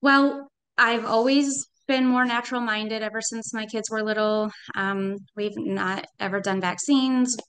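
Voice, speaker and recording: A woman's voice: a little high, fairly even intonation, a little slow, few pauses, fairly bright tone, clear, resonant tone. A woman in her thirties talking earnestly and thoughtfully about her family on a video call from home. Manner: earnest, thoughtful, trailing hedges. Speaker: parent in a program. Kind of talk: casual conversation. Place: home room.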